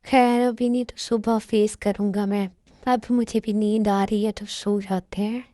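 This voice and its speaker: A woman's voice: medium pitch, steady tone, unhurried, dark tone, slight background noise, natural voice. A woman voicing a stern, lecturing young husband who calls his wife to account. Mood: tired.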